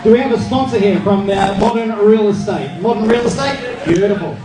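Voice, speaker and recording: A man working as master of ceremonies at a boxing weigh-in, announcing in a loud, showy style with drawn-out introductions. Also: high voice. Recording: echoey PA from far off, loud crowd and music. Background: loud music and crowd.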